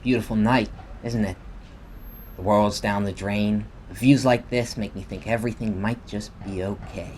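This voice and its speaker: A man's voice: lowish voice, fairly even intonation, moderate pace, a little mellow, natural voice. A man playing a suave, slightly odd tech billionaire in a radio play. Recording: faint background ambience noise.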